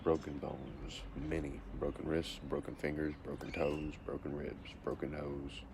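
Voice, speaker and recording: Man, 40s, deep voice. Reads questions and answers them matter-of-factly. Casual self-interview, noisy recording. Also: monotone, unhurried, vocal fry. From Texas, USA. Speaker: vlogger answering a question tag.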